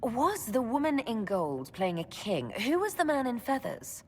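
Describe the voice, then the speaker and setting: An actress playing a wry, warm-hearted woman hero in a video game, with a husky voice and teasing manner. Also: fairly high pitch, wildly swooping pitch, measured pace, slight background noise. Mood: amused, fond.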